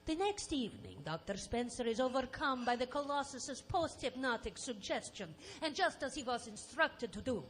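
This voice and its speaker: Lady as spooky show hostess narrating, high voice, mock dramatic n wry. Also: animated, moderate pace, few pauses. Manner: dramatic, tongue-in-cheek. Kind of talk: theatrical scripted narration, live audio theatre parody. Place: stage, live performance.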